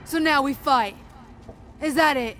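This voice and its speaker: A woman's voice: high-pitched, unhurried. A woman playing a tough, impatient gang fighter in a game cutscene, sarcastic and confrontational. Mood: defiant. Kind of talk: video game cutscene acting. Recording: game audio with loud music.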